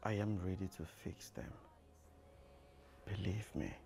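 A man playing a remorseful lover who quietly begs his partner for a second chance, often in a hushed, whispery voice. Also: low voice, gravelly. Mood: pleading. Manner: slow, hushed pleading.

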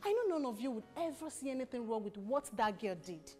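High-voiced, scolding protective sister. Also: female voice, very animated, fairly quick, darkish tone, faint music behind. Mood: bitter, scolding. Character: protective, scolding woman, loudly defiant about her brother. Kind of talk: screen drama acting.

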